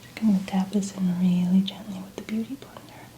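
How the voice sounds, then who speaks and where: A woman speaking softly and often whispering in a breathy voice, gently narrating each step as an ASMR makeup host. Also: mid-range voice, steady tone, fairly quick, a little hiss or hum. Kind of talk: soft-spoken ASMR roleplay.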